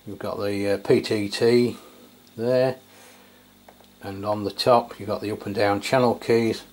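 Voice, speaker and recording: A man's voice: lowish voice, a little slow. A middle-aged man from England giving an unscripted, casual product review in a hesitant, matter-of-fact way. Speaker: radio reviewer. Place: indoors at a tabletop. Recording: clear steady background noise, room ambience.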